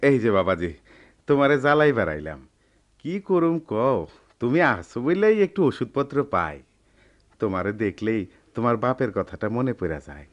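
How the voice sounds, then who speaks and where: A man acting a poor old village uncle in a radio play. The voice swings widely up and down around a middle pitch, folksy and pleading. The accent is rural eastern Bengali from Bangladesh, the temper worried and deferential. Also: sing-song, normal speed, close to an everyday voice. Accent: rural eastern Bengali dialect, Bangladesh. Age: older. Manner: plaintive, folksy.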